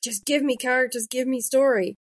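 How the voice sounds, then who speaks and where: A woman's voice: sits high, a little fast, slightly rough, no room sound. Chatty woman in her thirties, dry sound. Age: 30s. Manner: chatty, storytelling with quoted asides. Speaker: podcast host and author. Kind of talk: casual conversation.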